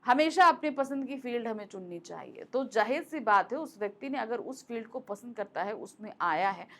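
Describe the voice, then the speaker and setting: A woman's voice: fairly high pitch, animated, moderate pace. Chatty female Hindi teacher. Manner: conversational, repeats points, asks rhetorical questions. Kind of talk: explaining a Hindi lesson to students. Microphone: close mic.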